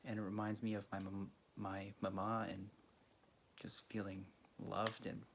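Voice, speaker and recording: A man from Greece hosting a video, telling a story casually and haltingly, with frequent pauses mid-sentence. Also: on the low side, flat delivery, gravelly, slight background noise. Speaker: video host. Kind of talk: casual storytelling to camera or viewers.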